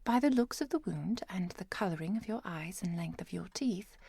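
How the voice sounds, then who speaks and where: A woman playing a composed, caring professor and vampire hunter in an ASMR roleplay, speaking softly and precisely, often near a whisper. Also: lowish voice, animated, creaky, slightly rough, no room sound, studio quality, exaggerated voice. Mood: soothing, tender. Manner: measured, precise, softly commanding.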